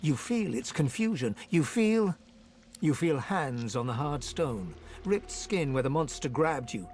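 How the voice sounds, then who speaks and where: Dramatic dark fantasy game narrator, highish voice that swings around a lot, suspenseful, some ambient noise behind. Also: male voice, animated, a little slow. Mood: tense, ominous. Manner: theatrical, suspenseful storytelling. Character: dramatic male storyteller of a dark fantasy tale. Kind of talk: scripted fantasy game narration, second person.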